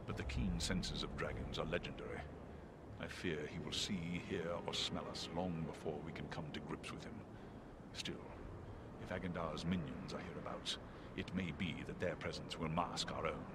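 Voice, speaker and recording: An actor playing a grave, noble, watchful guardian in a fantasy game, speaking in a solemn, measured, formal way. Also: male voice, rumbling low, steady tone, very noisy. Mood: grave, cautious.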